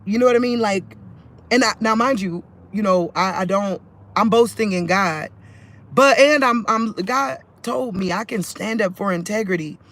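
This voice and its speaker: Man, high-pitched voice, religious vlog, phone recording, rambling, lots of fillers and restarts. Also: moderate pace, a bit bright. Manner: conversational, restarts sentences, many fillers.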